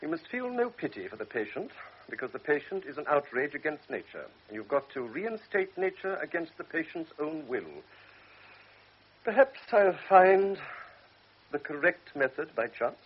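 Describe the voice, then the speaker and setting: Brusque cynical doctor, lively swinging delivery, slightly high voice, dull old radio sound. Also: male voice, normal speed, slightly rough. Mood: blunt. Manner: brusque, lecturing, world-weary. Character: blunt cynical middle-aged male doctor.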